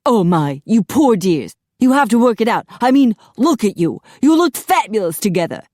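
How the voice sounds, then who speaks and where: A woman's voice: medium pitch, sing-song, normal speed, warm tone, no room sound, studio quality, pitched above the performer's own voice. A woman voicing a flamboyant, fussy young male hair stylist in an audiobook, camp, dramatic and effusive. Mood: sympathetic, dramatic.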